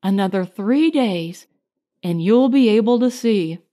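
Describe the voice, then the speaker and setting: A woman voicing an affectionate, teasing woman who cares for a patient, gentle and reassuring, in audiobook dialogue. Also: medium pitch, expressive, a little slow, close to an everyday voice.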